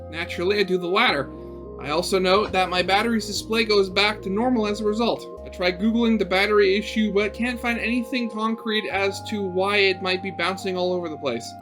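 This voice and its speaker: A man narrating a visual novel aloud as a gaming host, switching between storybook-like reading and offhand, sarcastic commentary. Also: high-pitched.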